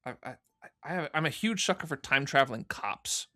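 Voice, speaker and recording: A man's voice: medium pitch, expressive, a little fast, pushed and bright. A man speaking as a podcast guest, explaining his production work in a casual, conversational way. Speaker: interview guest, fan audio drama producer.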